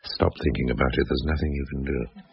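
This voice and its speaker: An actor playing a wry, cynical, educated husband in a radio horror drama, dry and articulate. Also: male voice, extremely low pitch, steady tone, fast talker, creaky, heavily acted. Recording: muffled, noisy old radio recording.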